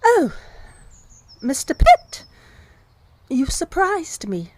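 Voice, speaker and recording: A woman voicing a proper, courteous young lady who patiently corrects and gently turns down a suitor, in an old-time radio drama. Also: very high-pitched, huge pitch swings, heavily acted. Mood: polite. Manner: prim and courteous, measured and correcting.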